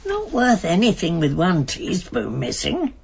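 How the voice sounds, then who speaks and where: Sentimental old wife, radio drama. Very animated intonation, chatty and stubborn, slightly dull broadcast sound. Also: female voice, on the low side, unhurried, creaky, husky, heavily acted. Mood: brisk, stubborn. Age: older. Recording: dull, band-limited radio broadcast recording.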